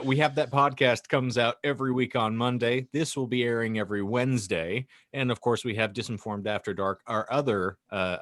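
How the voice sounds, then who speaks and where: A man's voice: fairly low pitch, a bit bright, no room sound, studio quality. A man hosting a podcast with wry, mock-formal, wordy comedic banter. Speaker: podcast host.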